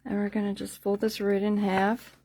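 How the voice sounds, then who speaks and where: Woman, craft livestream host, mid-pitched, informal and rambling, slightly worn voice, casual tutorial with a sales pitch. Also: monotone, moderate pace, warm tone, slight background noise. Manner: chatty, rambling, informal, repeating herself. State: losing her voice slightly. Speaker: host of a card-making craft class livestream.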